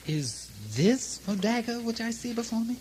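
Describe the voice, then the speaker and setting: A man's voice: very high-pitched, expressive, moderate pace. An actor playing a guilt-haunted, blustering Scottish king in a comic radio drama, theatrical and stammering, with hysterical outbursts. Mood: grim, resigned. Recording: noisy vintage radio recording.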